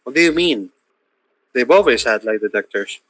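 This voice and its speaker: A man's voice: mid-range voice, animated, normal speed, fairly bright tone. Man teasing crudely over voice chat. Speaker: friend on voice chat. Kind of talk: casual gaming banter.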